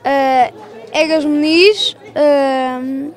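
A girl with a European Portuguese accent, halting and unsure while explaining a school exhibit. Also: high-pitched, steady tone, a faint crowd. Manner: halting, slow, with fillers. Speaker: student.

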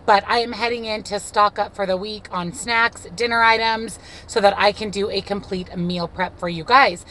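A woman's voice: mid-range voice, steady tone, moderate pace, a bit bright, slight background noise. A woman in her thirties with an American accent, chatting casually and gushingly to her viewers from inside a car. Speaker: vlogger. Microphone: phone or camera at arm's length.

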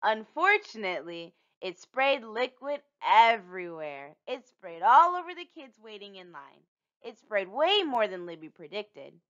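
Woman, children's storyteller, very varied intonation, expressive narration, slightly muffled sound. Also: mid-range voice, unhurried. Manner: animated, storytelling delivery for young children. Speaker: read-aloud storyteller.

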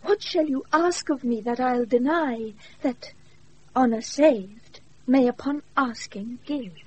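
A woman's voice: high voice, takes it slowly, a little mellow, breathy edge, exaggerated voice. An actress playing a proud, passionate, lovesick noble lady in a radio play, with formal, yearning verse delivery and a British accent. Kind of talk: classical radio play acting. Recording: dull, noisy off-air radio recording.

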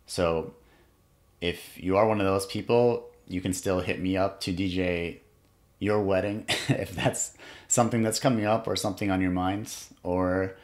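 Earnest young man, low voice. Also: fairly even intonation. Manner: reflective, earnest, thinking aloud with pauses. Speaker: podcast host. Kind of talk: solo motivational podcast monologue. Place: small indoor room at home.

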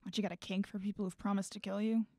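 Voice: medium pitch, level tone, quick pace, a little mellow, no room sound, natural voice. An actor playing a biting, sarcastic astronaut who nurses a grudge in an audio drama. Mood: bitter, accusing. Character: Hugh, bitter sarcastic astronaut nursing a grudge.

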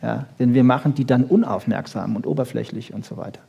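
A man's voice: mid-range voice, fairly quick, creaky, dark tone, husky, muffled recording. A man giving a colloquial science lecture, emphatic, with rhetorical questions and frequent little check-ins to his listeners.

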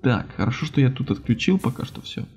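A man giving casual, animated let's-play commentary on a video game, reacting and muttering to himself as host. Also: medium pitch, brisk, husky, faint music behind. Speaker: gaming channel host.

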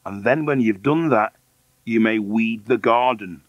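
A man's voice: on the low side, measured pace, no echo, highs cut off, lightly acted. Man playing a sly, falsely sweet adoptive father. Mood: scheming.

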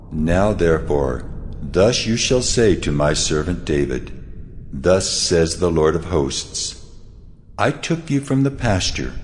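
God almighty, very deep, slow n solemn, huge reverb, some background noise. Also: male voice, darkish tone. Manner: slow, weighty, declarative. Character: God of Israel, solemn, commanding, ancient divine voice. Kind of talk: dramatized scripture audio drama. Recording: long reverb, noisy ambient bed.

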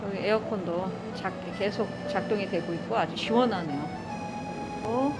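A woman's voice: mid-range voice, normal speed. A middle-aged woman narrating a casual travel vlog. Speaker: vlogger. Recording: loud background music over phone video. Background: music, some vehicle noise.

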